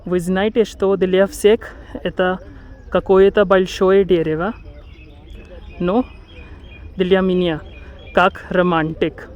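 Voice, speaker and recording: Slow, careful man. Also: sits high, flat delivery, darkish tone, clean tone, lo-fi sound. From India. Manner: careful, self-correcting, dreamy. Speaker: travel vlogger. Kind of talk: walking tour commentary. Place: outdoor lakeside park.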